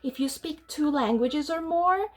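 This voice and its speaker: A woman's voice: high-pitched, a little slow, darkish tone. A woman teacher talking to children before a read-aloud, asking them questions and mixing Spanish with English. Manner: bilingual, mixes Spanish and English mid-sentence. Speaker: dual language teacher.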